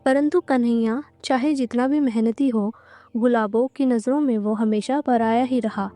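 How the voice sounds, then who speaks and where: A woman narrating a scripted moral story in a measured, dramatic storytelling manner. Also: fairly high pitch, fairly even intonation, dark tone, soft background music.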